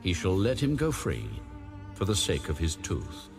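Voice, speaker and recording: A man reads scripture in a low voice that rises and falls expressively. His delivery is formal and solemn, with measured phrasing, and faint music sits behind him. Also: big pitch swings, a little mellow. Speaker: Bible audiobook narrator.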